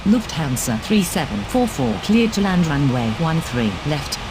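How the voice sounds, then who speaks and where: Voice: medium pitch, big pitch swings, a little slow, music in the background, rough recording. A voice actor playing an airline pilot, speaking in formal radio phrases.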